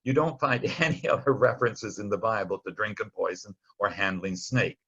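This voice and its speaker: Male Bible study teacher, lowish and slightly husky, conversational storyteller, a touch muffled recording. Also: normal speed, bright, forceful tone. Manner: conversational, wryly storytelling, acts out short quotes. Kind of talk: informal explanatory Bible lecture.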